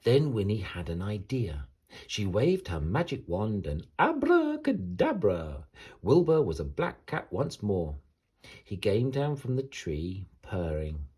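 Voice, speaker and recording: A man reading a children's picture book aloud as an expressive, dramatic bedtime story narration, with a husky voice. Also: on the low side, slow.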